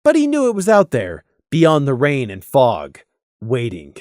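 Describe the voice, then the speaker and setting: A woman reading scripted story narration in an expressive, dramatic way, as an audiobook narrator. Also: extremely low pitch, unhurried, dry recording, clean studio sound.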